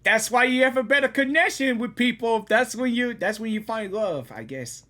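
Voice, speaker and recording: A young adult gamer giving casual, excitable reaction commentary, rambling and repeating phrases. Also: medium pitch, normal speed. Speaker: gaming reaction video creator. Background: game music and dialogue.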